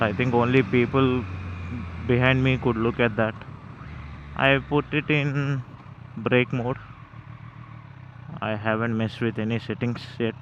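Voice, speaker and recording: A man's voice: mid-range voice, lo-fi sound. Indian man vlogging casually over background noise. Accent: Indian, Telugu. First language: Telugu. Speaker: motovlogger reviewing gear. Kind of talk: casual unscripted vlog commentary.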